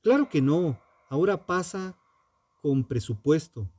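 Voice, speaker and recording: A man narrating a scripted true-crime documentary in a solemn, dramatic manner, in short measured phrases with pauses. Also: on the high side, lively intonation, warm tone, husky.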